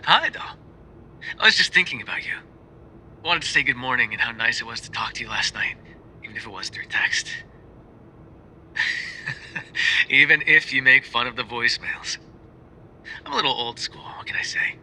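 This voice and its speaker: A man playing a tender, sheepish, bookish mafia boyfriend in an ASMR roleplay, speaking softly and hesitantly as if on a phone call, often dropping to a whisper. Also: medium pitch, lively intonation, normal speed, long pauses, slight background noise. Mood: fond, playful. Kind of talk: ASMR roleplay voice acting, intimate phone voicemails.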